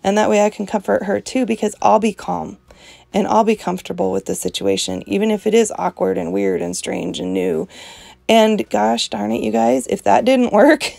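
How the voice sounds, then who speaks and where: A woman with a heavily gravelly, fry-laden voice talks in an earnest, emphatic, chatty way as she hosts an art journaling session. Her voice is strong and opinionated. The sound is dry, with almost no room around it. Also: mid-range voice, steady tone, normal speed, darkish tone, no echo. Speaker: host of an art journaling channel. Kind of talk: casual devotional chat while art journaling.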